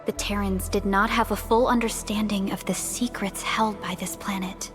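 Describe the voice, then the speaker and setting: A woman voicing a calm, helpful second-in-command in scripted video game dialogue. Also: mid-range voice, moderate pace, close to an everyday voice. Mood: composed, attentive. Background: game music.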